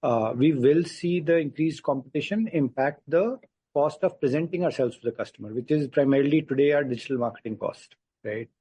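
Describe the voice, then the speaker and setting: A man answers as a company executive on a conference call. He is deliberate, pausing between phrases and checking with the listener. His voice is husky and dark, with a very dry sound. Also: a little high, no room sound. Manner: deliberate, pausing, seeks agreement with "right?". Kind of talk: answering questions on an earnings call. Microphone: conference call line.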